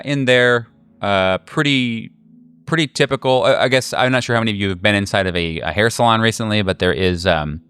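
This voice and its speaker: A man running a tabletop horror game as game master, narrating casually in a halting, slangy, jokey way. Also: low-pitched, fairly bright tone, clean tone.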